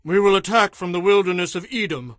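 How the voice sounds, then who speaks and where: A troubled, fatalistic king in an audio Bible drama. His voice sits high and theatrical in a dry studio sound. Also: a little fast, a bit bright, no echo. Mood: urgent.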